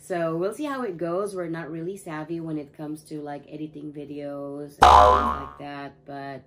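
A woman's voice: deep voice, lively intonation, normal speed, background noise. A woman in her thirties from the Philippines, chatting casually on a couch in her living room about the family channel, with many fillers and restarts. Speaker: family vlogger.

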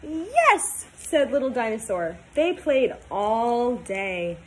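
Animated high-voiced young woman reading to children. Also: slow, slight background noise, poor audio. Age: 20s. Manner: animated, sing-song, simple child-directed phrasing with questions. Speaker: teacher reading aloud to pupils. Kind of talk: children's storybook read-aloud, talking to young kids.

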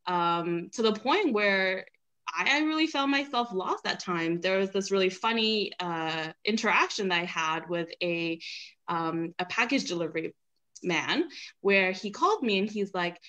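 A woman's voice: mid-range voice, a little slow. Chatty young woman from China on a video call. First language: Mandarin. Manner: chatty, laughs often, animated. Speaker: panelist. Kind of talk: casual storytelling on a panel.

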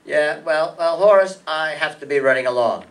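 A man's voice: on the high side, moderate pace, lightly acted. Wry private detective, played by a man, distant mic. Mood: wry, polite. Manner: deadpan, wry. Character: wry, flirtatious hard-boiled male private detective. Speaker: amateur radio play performer. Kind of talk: old-time radio detective narration and dialogue. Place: meeting room stage before seated audience. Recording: distant room microphone, music behind.

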